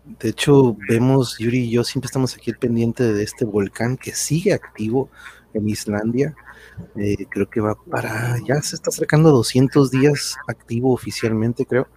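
A man's voice: mid-range voice, normal speed, warm tone, a little hiss or hum. A man hosting a casual livestream chat in a rambling way, often chuckling. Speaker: host.

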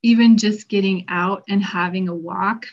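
Woman speaking in an even, flat tone. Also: medium pitch, moderate pace. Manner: conversational, acts out patient quotes. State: losing her voice. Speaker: health practitioner. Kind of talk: informal health presentation. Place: Colorado. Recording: dull lo-fi recording.

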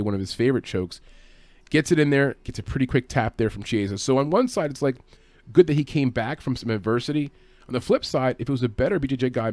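A man's voice: mid-range voice, darkish tone, dry recording. A man giving a conversational sports preview as a fight analyst, matter-of-fact with informal asides. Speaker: MMA fight analyst and host.

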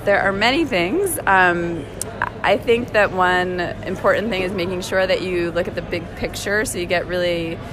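A woman, a computer science professor, giving clear and measured advice in an interview. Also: lowish voice, over music. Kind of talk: interview answers.

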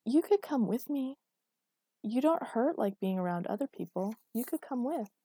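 A woman's voice: on the high side, animated, normal speed, dark tone, breathy edge, no echo, pitched above the performer's own voice. A woman voicing a headstrong, dreamy and defiant woman with naive candour in a dramatic story reading. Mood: gentle, hesitant.

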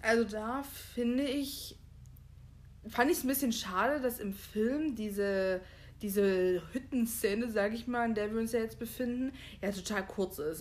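A woman's voice: fairly high pitch, darkish tone. Casual, chatty woman podcast co-host. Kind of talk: casual chat, discussing a book and film.

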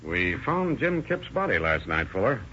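A shrewd old-radio sheriff. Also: male voice, lowish voice, very animated, moderate pace, pushed and bright, slight background noise. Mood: stern. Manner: firm, methodical questioning. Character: shrewd male sheriff interrogating a suspect. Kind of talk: 1930s radio drama acting. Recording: muffled old radio recording.

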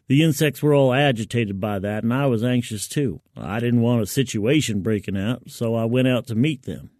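Male fiction reader, medium pitch, colloquial and wry first-person storytelling, very dry recording. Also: a little mellow, dry recording. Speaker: fiction narrator.

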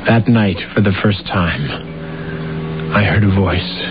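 Brief: a brooding, self-important assassin, measured and slow, on a muffled radio broadcast under loud music. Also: male voice, fairly low pitch, heavy background noise. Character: grandiose deluded male assassin, middle-aged. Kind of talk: 1970s radio drama acting.

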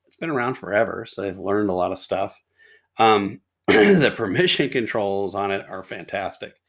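A middle-aged man with a hoarse, sore throat hosts a casual podcast. His low voice has a gravelly, fried edge. He rambles through the topic, restarting sentences and tossing in asides. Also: fairly bright tone. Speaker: podcast host. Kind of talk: casual tech review monologue.

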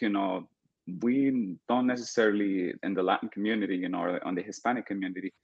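A young man from El Salvador, speaking as a guest in an interview. He talks earnestly and deliberately, with many "uh" and "you know" fillers. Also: fairly low pitch, no echo, lo-fi sound. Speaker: guest, political activist. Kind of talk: podcast interview.

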